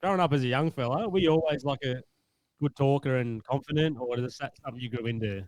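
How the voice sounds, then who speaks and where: A man's voice: medium pitch, fast talker, a little mellow, no room sound, low-quality recording. A man in his late twenties with an Australian accent, hosting a podcast in a laid-back, blokey manner. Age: 20s. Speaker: podcast host. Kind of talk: casual podcast interview.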